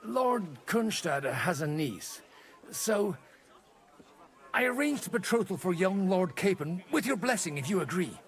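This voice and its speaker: An actor playing a shrewd, worldly priest in a medieval video game, wry and persuasive in manner. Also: male voice, sits high, very animated, measured pace, fairly bright tone, exaggerated voice. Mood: persuasive, pleased. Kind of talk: dramatic video game voice acting.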